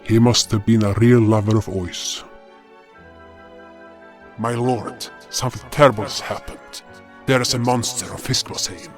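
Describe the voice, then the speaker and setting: An indignant frost guardian beast played by a man tells his fantasy tale in a lowish voice. He is dramatic, impulsive and given to grumbling, with light music underneath. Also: fairly even intonation, a little slow, long pauses, lightly acted. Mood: grave, grumbling. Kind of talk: first-person fantasy story narration and dialogue.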